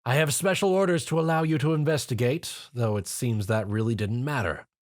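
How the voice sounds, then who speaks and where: A man voicing a gruff, irritated older police mare who talks in a curt, grunting way. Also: medium pitch, brisk, darkish tone, lightly acted.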